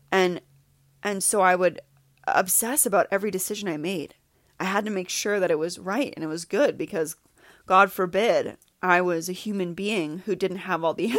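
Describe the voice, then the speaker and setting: A woman's voice: on the low side, dry recording, clean studio sound. A woman in her thirties hosting a podcast, speaking candidly and confessionally in a reflective personal monologue. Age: 30s. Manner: candid and confessional, with laughs and repetitions. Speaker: podcast host.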